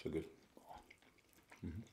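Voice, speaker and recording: A man's voice: takes it slowly, mellow. A man from Austria with a Viennese accent tinged with Scottish, hosting an ASMR eating video and chatting casually between bites. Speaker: mukbang host.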